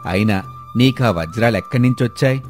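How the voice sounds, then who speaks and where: A man playing a steady, shrewd village headman with a measured, authoritative manner in a moral story. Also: mid-range voice, soft background music, close to an everyday voice. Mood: firm, accusing. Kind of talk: acted dialogue in a moral story.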